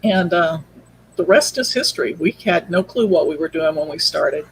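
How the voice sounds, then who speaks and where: A woman's voice: low-pitched, expressive, normal speed, husky, rough recording. A woman speaking as an interview guest, telling her story in a casual, conversational way. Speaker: podcast guest, audio drama producer.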